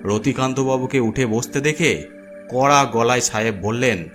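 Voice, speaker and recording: A man narrates a horror tale in a scripted storytelling style. His voice sits at an ordinary middle pitch for a man. Music plays behind him. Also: moderate pace, fairly bright tone. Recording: background music under voice.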